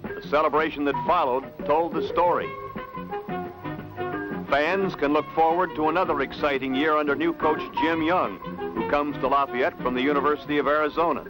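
Higher-pitched American male newsreel narrator. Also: moderate pace, rough recording. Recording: 1970s film soundtrack with music. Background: music.

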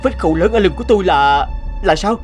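Bewildered, high-voiced young cartoon man. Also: expressive, fast talker, faint music behind. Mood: panicked. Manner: bewildered, exclamatory. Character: panicked young man waking robbed of a kidney. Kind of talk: melodramatic cartoon acting.

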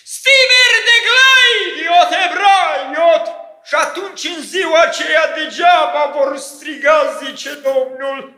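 A man giving an ecstatic prophecy in a church, chanting and shouting, mixing speech with repeated sung refrains in tongues. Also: extremely high pitch, roomy sound. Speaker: prophesying preacher.

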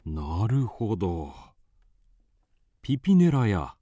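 Slow, mellow, kindly animal doctor. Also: male voice, lowish voice, big pitch swings, no room sound, clean studio sound, exaggerated voice. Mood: kindly, decisive. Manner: measured, avuncular, musing. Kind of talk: audio drama acting.

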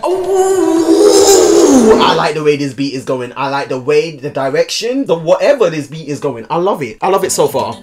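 A man's voice: fairly high pitch, sing-song, moderate pace, few pauses. A young man hosting a music video reaction from his bedroom, sassy and exclamatory, swearing freely. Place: bedroom at home.

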